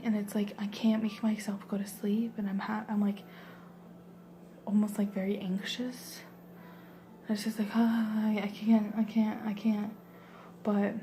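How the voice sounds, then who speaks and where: A young woman tells a story in a soft, hushed whisper, wandering off track with plenty of "like" and "um". Her voice stays flat and mellow. A faint hiss sits behind her. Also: mid-range voice. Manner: soft whisper, rambling with many fillers.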